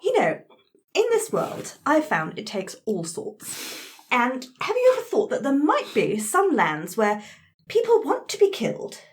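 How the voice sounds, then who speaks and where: A woman playing an earnest, courteous adventurer in a tabletop game, hesitant and gently coaxing. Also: high-pitched, huge pitch swings, a little slow, heavily acted. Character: polite, earnest, encouraging female adventurer, gently persuasive.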